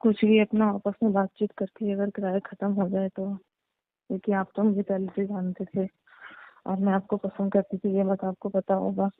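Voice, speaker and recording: Phone-line audio, woman playing married female tenant, mid pitch, placating, coy, evasive, repetitive, phone role-play. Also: monotone, normal speed, lightly acted. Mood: coy, inviting. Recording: echoey, tinny narrowband phone line.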